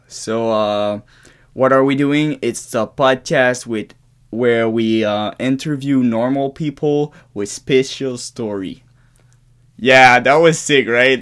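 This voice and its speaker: A man's voice: mid-range voice, smooth. A man in his early twenties from Quebec with a Québécois French accent, a native French speaker hosting his own podcast in English, rambling casually with restarts and swearing, recorded in his bedroom. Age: 20s. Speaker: podcast host. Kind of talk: unscripted solo podcast monologue. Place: his bedroom in a shared apartment.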